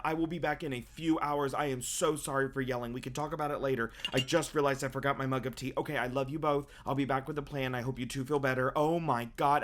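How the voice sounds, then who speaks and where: A man voicing a flustered, excitable star being in a fantasy audio roleplay, exclaiming and rambling in agitation. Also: medium pitch, background noise, natural voice. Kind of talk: ASMR roleplay acting.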